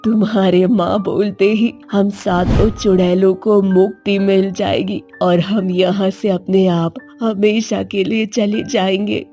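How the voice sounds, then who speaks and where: A woman voicing a weary witch mother-in-law who speaks slowly and gently, asking for release. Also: mid-range voice, flat delivery, faint music behind, pitched below the performer's own voice. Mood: solemn. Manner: slow, gentle, solemn.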